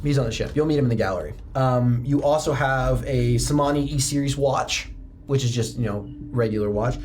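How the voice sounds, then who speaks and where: Man, game master, conversational tabletop narration, mid-pitched voice, noisy room ambience. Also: brisk, a little mellow. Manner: conversational second-person scene-setting.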